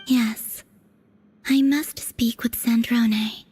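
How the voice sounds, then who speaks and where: Columbina, an airy whispering moon maiden. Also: female voice, fairly high pitch, soft background music, heavily acted. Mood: hushed. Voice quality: breathy whisper. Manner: soft, calm, unhurried. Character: mysterious ethereal young moon-bound woman. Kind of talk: video game voice acting.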